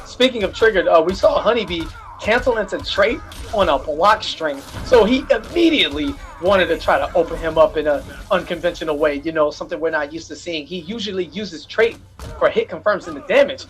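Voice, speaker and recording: A man calls a fighting game tournament in a loose, joking way, trading quips with his co-caster. His voice sits high for a man. Faint music plays underneath. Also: animated, fairly quick, a bit bright. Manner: hype, loose, jokes with co-caster. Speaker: esports commentator. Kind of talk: live play-by-play commentary.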